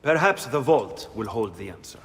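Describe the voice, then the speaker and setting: An actor playing a thoughtful, curious Renaissance scholar in a video game, speculative and animated. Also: male voice, mid-range voice, moderate pace, close to an everyday voice. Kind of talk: video game cutscene acting. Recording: long reverb, music behind.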